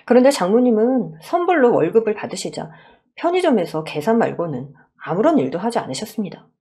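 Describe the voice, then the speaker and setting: A woman's voice: medium pitch, very animated, a little fast, warm tone, breathy edge. A woman reading a scripted first-person story as a dramatized narration.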